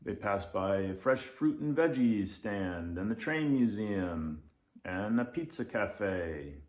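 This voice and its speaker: A man's voice: on the low side, animated, slow. A man narrating a children's story as a storyteller. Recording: roomy echo.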